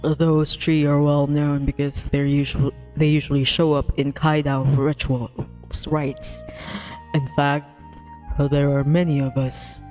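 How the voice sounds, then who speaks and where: A woman voicing a gentle, reassuring male guardian tiger spirit in a visual novel, soft-spoken and earnest. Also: low voice, level tone, slow pace, faint music behind, pitched below the performer's own voice, low-quality recording. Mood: earnest, explaining.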